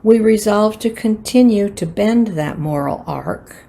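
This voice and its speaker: Older American woman, husky and unhurried. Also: mid-range voice, animated, warm tone. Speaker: worship associate. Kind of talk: prepared personal reflection read aloud at a worship service.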